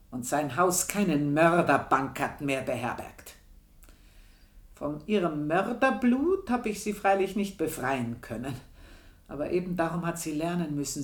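An actress playing a bitter, vengeful old housekeeper in a radio drama, with a southern German or Austrian accent and a deliberate, intense delivery. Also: female voice, lowish voice, roomy sound. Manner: deliberate, intense, drops into whispers. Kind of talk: slow, literary radio drama monologue.

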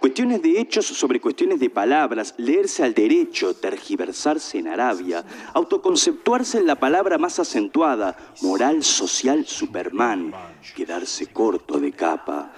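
Man reciting poetry with wide-swinging intonation. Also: medium pitch. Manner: declamatory, dense wordplay. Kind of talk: spoken-word poetry recital.

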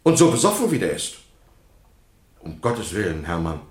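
An actor playing a flustered, defensive witness, agitated yet deferential, in a German radio courtroom drama. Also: male voice, deep voice, huge pitch swings, normal speed. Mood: deferential, uneasy. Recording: roomy, echoing courtroom sound.

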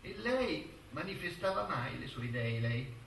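A man's voice: a little high, big pitch swings, a little slow, gravelly, a bit bright, exaggerated voice. An actor playing an insistent, accusatory male lawyer who hammers a witness with repeated questions in a theatrical courtroom drama. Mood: probing. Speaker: stage actor. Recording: roomy, distant stage recording with faint noise.

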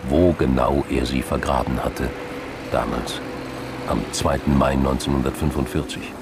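A man's voice: a bass voice, background noise. A man reading scripted narration for a radio drama, with vehicle sounds and ambience behind him.

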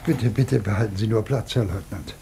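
Gravelly, timid father. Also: fairly low pitch, fairly quick, slight background noise. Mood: anxious, gentle. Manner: careful, anxious, gentle. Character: timid, tender, melancholy old-fashioned father of an invalid. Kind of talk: period radio drama acting.

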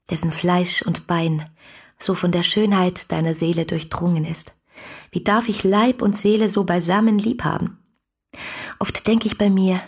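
A woman's voice: on the low side, creaky, husky, no echo, clean studio sound, full character voice. An actress playing a passionate, lonely young woman in a literary radio drama, intimate and poetic, often sinking into whispers. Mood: fervent devotion, then whispered adoration. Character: passionate, adoring, lonely young woman writing love letters.

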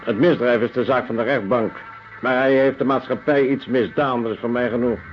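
A man's voice: medium pitch, fairly even intonation, fairly quick, natural voice. A man playing a callous, practical prison commandant in a Dutch radio drama, curt and dismissive. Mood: brusque, callous. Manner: curt, matter-of-fact, dismissive. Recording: dull lo-fi radio recording, music behind.

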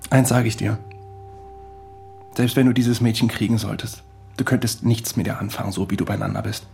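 A man's voice: on the low side, fairly even intonation, brisk, creaky, a little mellow, soft background music. An actor playing Wes, a tipsy male friend with a mocking, provocative manner in a radio drama. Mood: bitter, scornful.

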